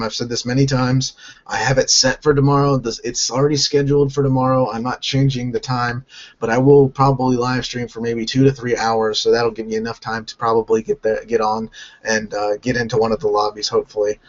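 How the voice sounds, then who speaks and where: A hoarse man from North Carolina hosting a gaming channel, answering viewer questions in a halting way and often restarting his sentences. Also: medium pitch, highs cut off. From North Carolina, United States.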